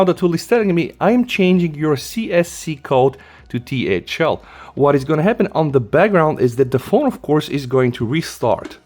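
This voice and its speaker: A man's voice: medium pitch, darkish tone. A man narrating a step-by-step tech tutorial in a casual, instructional way, stressing the key points. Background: background music.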